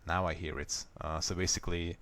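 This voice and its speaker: A man with a Hungarian accent, a native Hungarian speaker, calmly walking viewers step by step through a software fix in a screen recording. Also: deep voice, dry recording. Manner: unhurried, plain, step-by-step explaining. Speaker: software tutorial maker. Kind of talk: screen-recorded how-to walkthrough.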